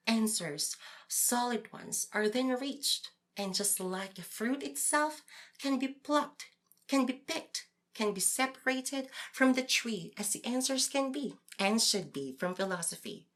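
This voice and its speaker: A woman playing a calm know-it-all philosopher who lectures a friend in formal, measured wording in a podcast audio drama. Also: a little high. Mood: explaining.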